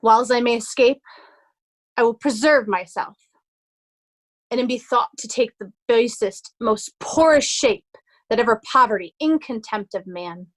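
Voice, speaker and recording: A woman playing a hunted young nobleman in a Shakespeare soliloquy, in deliberate, measured verse. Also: on the high side. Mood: desperate, resolute. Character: hunted young nobleman resolving to pose as mad beggar.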